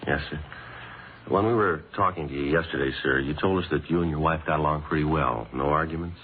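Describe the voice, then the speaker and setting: An actor playing a dry, businesslike detective sergeant in a vintage radio police drama, clipped and deadpan. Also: male voice, extremely low pitch, a little fast, a little hiss or hum. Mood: probing. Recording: muffled old-time radio recording with music.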